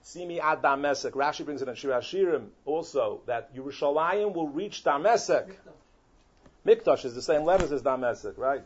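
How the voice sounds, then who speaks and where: A man, a rabbi, teaching a Torah sermon with animated delivery, weaving many Hebrew and Yiddish terms into his English. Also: a little high, normal speed, husky. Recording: dull lo-fi recording.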